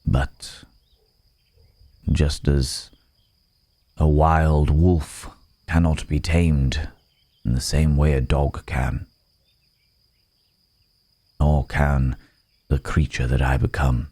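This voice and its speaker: A man playing a weary, remorseful werewolf in human form, speaking haltingly and gravely in an intimate ASMR roleplay. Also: very deep voice, flat delivery, warm tone, no echo, heavily acted. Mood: grave, haunted.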